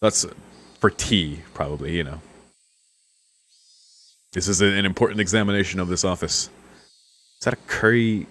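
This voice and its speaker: Low-voiced man doing amused game commentary. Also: moderate pace, long pauses, fairly bright tone. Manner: amused, slangy. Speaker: streamer doing a let's play. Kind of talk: casual game commentary, reading lines aloud.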